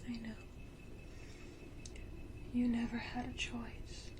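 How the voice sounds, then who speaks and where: A woman voicing a menacing, tenderly cruel vampire matriarch in a slow, breathy, theatrical whisper for an ASMR roleplay. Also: fairly high pitch, completely flat delivery, background noise. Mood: ominous pity.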